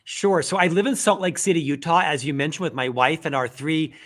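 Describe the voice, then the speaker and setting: Animated middle-aged man telling stories. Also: a little high, a little fast. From Central Florida. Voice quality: stutterer. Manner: animated storyteller, self-deprecating humor. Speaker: guest, author and podcast host. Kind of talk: casual interview talk.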